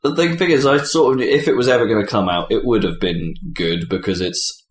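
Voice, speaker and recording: A man with a lowish voice chats casually as a gaming podcast co-host. His delivery is conversational and a bit tentative, recorded in a very echoey room. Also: a little fast. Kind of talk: casual chat about video games.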